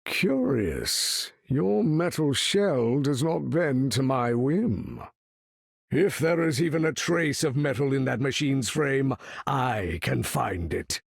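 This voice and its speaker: An actor voicing an imperious, weary older mutant leader in a video game, with grandiose, formal, commanding speech and a gravelly tone. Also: male voice, mid-range voice, animated, slow, no echo, clean studio sound, exaggerated voice. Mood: stern, menacing. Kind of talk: video game character voice lines.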